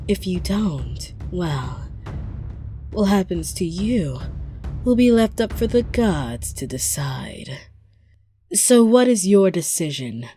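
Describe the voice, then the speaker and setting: A sinister female tyrant, played by a woman, speaks in a low register with very drawn-out, deliberate phrasing. Her breathy delivery often drops toward a whisper, full of theatrical menace. It is an ASMR roleplay steeped in cavernous reverb. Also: darkish tone, full character voice. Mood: quietly threatening. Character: menacing female empire ruler who conjures victims' worst fears.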